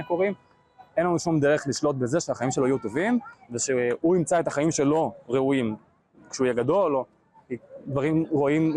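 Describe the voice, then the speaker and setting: A man from Israel, a street activist, arguing rapidly and animatedly with passers-by. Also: on the high side, a little mellow. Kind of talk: street conversation.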